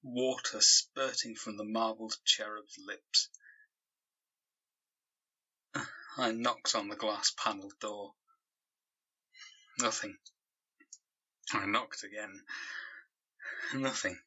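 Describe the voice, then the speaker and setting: An actor playing a traumatised man in an audio drama, recounting his ordeal in a halting, haunted monologue. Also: lowish voice, flat delivery, sharp tone, husky, exaggerated voice, poor audio. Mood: haunted, brooding. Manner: slow, halting, haunted delivery with repeated words. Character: traumatised man reliving a savage dog attack.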